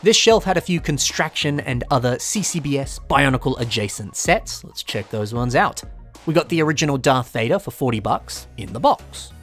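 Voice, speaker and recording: A man's voice: mid-range voice, lively intonation, moderate pace, a bit bright, soft background music. A young man, talking casually over a shopping vlog and weighing up toy prices. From Australia. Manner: chatty, opinionated about prices. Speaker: toy collector vlogger.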